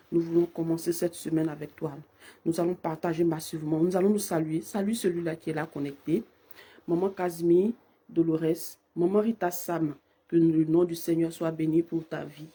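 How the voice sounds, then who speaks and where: Husky-voiced woman praying, hoarse with a cold. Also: sits low, mellow. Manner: fervent, repetitive invocations. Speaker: prayer leader. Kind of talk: live-streamed morning prayer.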